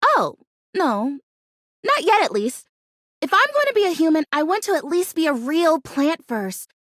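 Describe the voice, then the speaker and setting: Very high, perky houseplant girl. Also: very animated, unhurried, a bit bright, no echo, clean studio sound, heavily acted. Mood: eager, dramatic. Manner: chatty, dramatic, earnest, gushing. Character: perky, stubbornly hopeful female fake houseplant longing to be real. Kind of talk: animated video game character acting.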